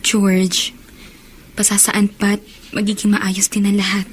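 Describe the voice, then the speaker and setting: An actress playing a calm, supportive confidante in a Filipino radio drama. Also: female voice, medium pitch, takes it slowly, slightly rough. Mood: concerned, soothing.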